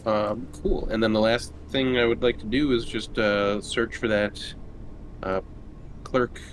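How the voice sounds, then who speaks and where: A man's voice: on the low side, steady tone, measured pace. A man chatting casually and informally as a player in a tabletop roleplaying game. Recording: clearly noisy background.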